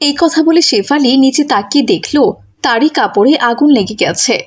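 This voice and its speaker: A woman's voice: high-pitched, expressive, a little fast, husky. A woman reading scripted narration for a cartoon story as its narrator.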